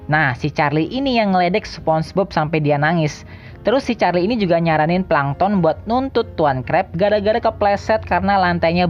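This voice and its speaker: Low-voiced woman, casual countdown narrator. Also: a little mellow, muffled recording. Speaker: video host. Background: background music.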